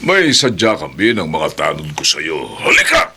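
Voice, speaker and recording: An actor with a Filipino accent playing a fierce monster-hunting village captain in a horror radio drama. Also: male voice, very deep voice, huge pitch swings, moderate pace, husky, heavily acted. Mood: menacing, commanding. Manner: forceful, commanding, dramatic.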